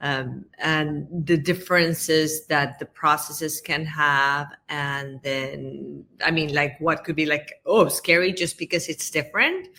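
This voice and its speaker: A woman from Colombia chatting as a podcast guest, friendly and loose, with plenty of ums and uhs. Her voice is very low for a woman yet has a bright, sharp edge. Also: fairly even intonation, slow pace, hardly any pauses, a little hiss or hum. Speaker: real estate agent, interview guest. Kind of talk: casual interview chat.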